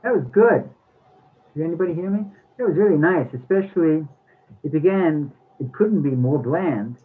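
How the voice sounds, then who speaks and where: Guy commenting on a play reading, raspy, sounds like hes on a phone line. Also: on the high side, expressive, moderate pace. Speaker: play reading participant giving feedback. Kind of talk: casual critique.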